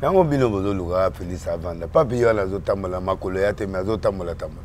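Homme originaire du Congo qui parle en mélangeant le français et le lingala, sur un ton emphatique, en répétant ses mots dans une discussion politique. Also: male voice, deep voice, fast talker, a little mellow, slight background noise. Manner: mixes French and Lingala, emphatic, repeats words. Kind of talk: animated political chat.

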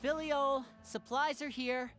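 A woman voicing a polite, smooth-talking teenage boy stage magician in a video game, with a courteous, theatrical manner. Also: high voice, steady tone, slow pace, a bit bright, faint music behind.

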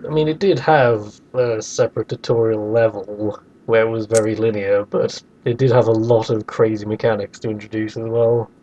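Man with a mellow voice, slightly muffled. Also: lowish voice, fairly even intonation, normal speed, hardly any pauses, a little hiss or hum. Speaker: podcast host. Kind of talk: opinionated casual discussion of video games.

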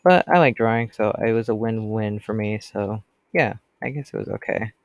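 A man's voice: fairly low pitch, normal speed, no room sound. A teenage boy with a stuffy cold voice, rambling casually as he comments on his gameplay. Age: teens. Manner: chatty, rambling, talks to customers.

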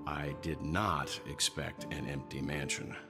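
Very deep, grave gentleman traveler. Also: fairly even intonation. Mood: calm, pensive. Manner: measured, formal, thoughtful. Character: calm, grave, courteous older male traveler. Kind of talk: voiced video game dialogue. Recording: game audio under music.

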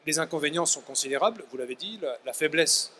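Bright-voiced middle-aged professor. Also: male voice, a little high, animated, fast, slight background noise. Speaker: university professor, panel guest. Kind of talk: trade fair panel interview. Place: trade fair stand. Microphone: handheld microphone.

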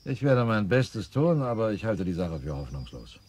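A man's voice: fairly low pitch, moderate pace, husky. A man playing a shrewd lawyer in a 1970s radio play. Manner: lively, reasoning aloud.

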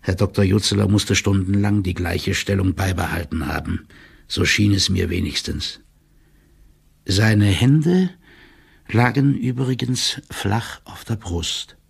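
An actor playing a stout official who reads out a stiff, drily ironic report in an audio drama, in a gravelly, husky, measured voice. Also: male voice, low voice, heavily acted. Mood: uneasy, measured. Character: stout male official giving a stiff, wry written report.

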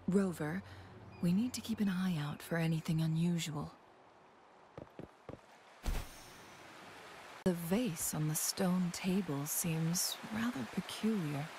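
An actress voicing a composed, shrewd young female counselor in a game, speaking in a measured, formal way. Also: lowish voice, warm tone, a little hiss or hum, natural voice. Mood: alert, composed. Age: young adult. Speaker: game character. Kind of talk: scripted game dialogue.

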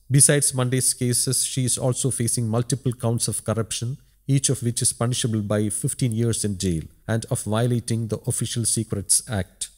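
A man's voice: medium pitch, darkish tone. A man narrating a scripted news report as a voice-over.

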